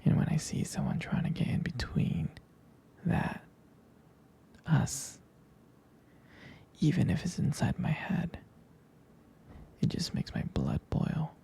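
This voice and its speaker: A man playing a jealous, protective, tender boyfriend in an intimate ASMR roleplay, speaking softly and hesitantly in a breathy, husky, gravelly whisper. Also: on the high side, sing-song, full character voice. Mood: possessive, earnest. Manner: slow, hesitant, soft-spoken.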